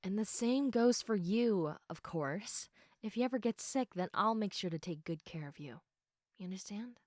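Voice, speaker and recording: A woman playing a sleepy, affectionate girlfriend in an intimate sleep-aid roleplay, speaking softly and reassuringly with a tendency toward giggles and whispers. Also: medium pitch, expressive, dry recording, clean studio sound, natural voice. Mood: tender, reassuring. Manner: soft, unhurried, often giggly, dropping into whispers. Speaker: audio roleplay performer.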